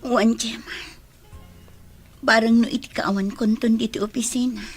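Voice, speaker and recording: A woman playing a remorseful, heartbroken young woman in an Ilocano radio drama, speaking softly and apologetically. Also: on the high side, steady tone, normal speed, faint music behind, close to an everyday voice. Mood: resigned, sad.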